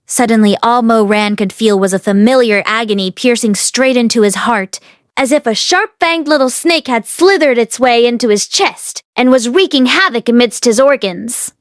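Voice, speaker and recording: Female audiobook narrator, higher voice, expressive storytelling, close dry recording. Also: moderate pace, dry recording. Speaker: audiobook read-along narrator.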